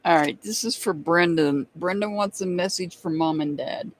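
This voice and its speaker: Chatty, high-voiced man with a slight Southern accent. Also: normal speed, background noise. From United States. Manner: chatty, laughs often, answers viewers by name. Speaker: tarot reader hosting a live stream. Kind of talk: casual live-stream chat.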